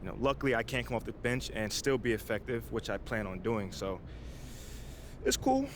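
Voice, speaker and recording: An actor playing a confident young pro basketball player, talking in a casual, slangy, laid-back way in a game cutscene. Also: male voice, medium pitch, normal speed, noisy background, lightly acted. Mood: measured. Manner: casual, slangy, hesitant asides. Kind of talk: naturalistic video game cutscene acting.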